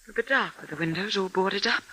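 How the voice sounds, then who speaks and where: A woman's voice: mid-range voice, brisk, close to an everyday voice. An actress playing a worried wife questioning her husband in a 1950s radio drama. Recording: noisy old radio recording.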